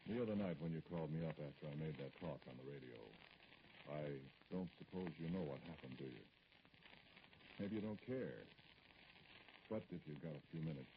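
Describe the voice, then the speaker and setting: Wounded politician in old radio drama, super low gravelly voice, formal halting talk, muffled hissy recording. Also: male voice. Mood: quietly pointed. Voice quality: very deep, gravelly. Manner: hesitant, formal, pointed, with pauses. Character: aggrieved middle-aged male politician, dignified, brooding, addressing a caller. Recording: noisy, dull vintage radio recording.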